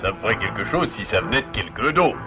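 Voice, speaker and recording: A man playing a gentle, learned beaver father in a French cartoon, speaking in a measured, composed way. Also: fairly low pitch, lively intonation, music in the background, lightly acted. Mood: saddened but composed. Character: calm, wise adult male beaver father, gentle and learned. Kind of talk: French-dubbed cartoon acting.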